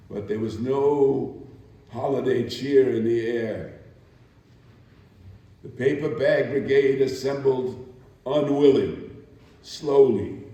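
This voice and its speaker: Man reading as weary labouring woman, solemn, theatrical pauses, mellow tone, very echoey room. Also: medium pitch, dull audio, lightly acted. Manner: slow, deliberate, theatrical pauses. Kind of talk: dramatic first-person reading of testimony. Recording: very echoey room, distant microphone.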